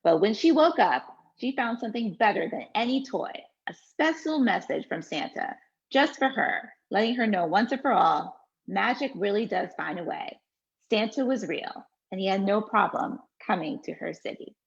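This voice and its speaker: Expressive woman with a gravelly voice. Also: medium pitch, moderate pace. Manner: expressive storytelling, relaxed with fillers when answering. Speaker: children's book author reading her story. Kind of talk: read-aloud storytelling, then interview answers. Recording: dull lo-fi recording.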